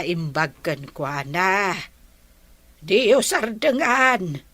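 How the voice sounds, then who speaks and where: Woman acting old granny in a radio drama, slow and measured, gently scolding, dry close sound. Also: medium pitch, dry recording. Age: older. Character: elderly mother and grandmother, calm, wise, gently admonishing.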